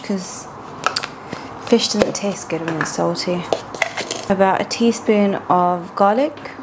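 A woman's voice: lowish voice, background noise. A woman, chatting casually as she talks viewers through a home cooking recipe step by step. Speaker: cooking vlogger. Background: background music.

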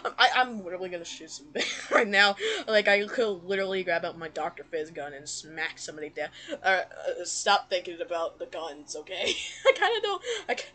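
A woman improvising a comedic cartoon fan dub, giggly and halting, swearing freely as she goes. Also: lowish voice, expressive, pushed and bright. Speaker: amateur fan dubber.

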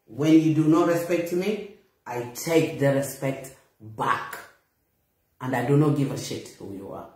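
A woman's voice: a bass voice, unhurried, a little mellow. Indignant Bissau-Guinean woman, very echoey room. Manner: indignant, emphatic, blunt. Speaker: vlogger. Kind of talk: confrontational monologue to camera. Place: small bare room indoors.

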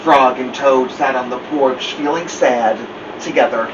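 A man's voice: medium pitch, measured pace, highs cut off. A middle-aged man narrating expressively as host of a children's story time. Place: small furnished room indoors. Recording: distant mic, faint room noise.

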